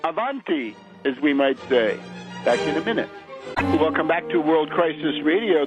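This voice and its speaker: High-pitched man, emphatic radio commentator. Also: dry recording. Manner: emphatic, insistent, punctuates points with "right?"; sardonic, scornful. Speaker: political radio show host. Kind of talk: political commentary monologue, polemic. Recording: muffled lo-fi radio audio.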